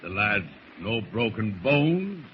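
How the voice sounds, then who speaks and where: Old sailor, gruff, kindly, vintage radio drama, muffled noisy recording. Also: male voice, fairly low pitch, very animated, slow pace. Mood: puzzled. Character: old one-legged sailor first mate, gruff but kindly. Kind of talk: 1940s radio acting.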